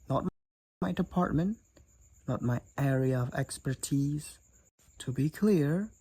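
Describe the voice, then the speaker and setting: Male actor as candid demon college roommate for roleplay audio; raspy, slow-paced, teasing and blunt, speaking straight to the listener. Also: mid-range voice, darkish tone, dry recording. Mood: firm, matter-of-fact.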